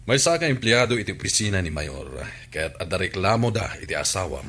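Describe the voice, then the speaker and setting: An earnest municipal employee, played by a man, fairly low-voiced with lively intonation. He is formal and businesslike, in radio drama style. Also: brisk, full character voice. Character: earnest, official town hall employee. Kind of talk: Ilocano radio comedy drama acting.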